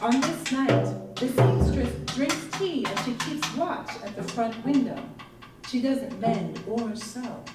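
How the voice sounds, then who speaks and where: A woman with a fairly high voice gives a dramatic, deliberate reading of a literary story. She sounds distant in a very roomy live space, with loud music playing along. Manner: expressive, deliberate, theatrical. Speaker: story reader at a live performance. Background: live guitar, brass and percussion music.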